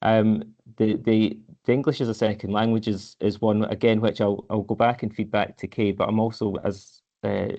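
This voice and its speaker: A man, a council head of service, answering questions at a committee meeting in a measured, hesitant way with many repeated words. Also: fairly low pitch, flat delivery, warm tone, muffled recording.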